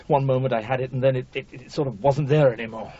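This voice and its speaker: An actor playing a hapless middle-aged editor in a radio drama, hesitant, muddled and pleading. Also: male voice, medium pitch, steady tone, fast, background noise, lightly acted. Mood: rueful, flustered. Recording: dull 1980s radio broadcast.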